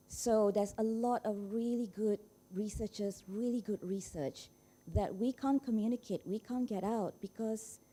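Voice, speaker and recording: A woman's voice: medium pitch, dark tone. A woman from Malaysia putting an informal question from the floor at a conference. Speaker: audience questioner, science communicator.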